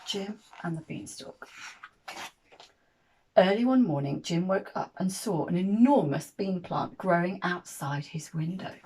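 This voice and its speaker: A woman reading a children's picture book aloud as an expressive storyteller. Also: lowish voice, measured pace, a little hiss or hum, rough recording.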